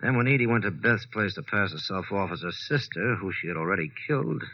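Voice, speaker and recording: An actor playing a subdued, friendly gambler who talks familiarly, in 1940s radio drama style. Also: male voice, fairly low pitch, lively intonation, fairly quick. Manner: familiar, colloquial. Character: subdued, friendly male gambler, battered but philosophical. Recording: dull vintage radio recording.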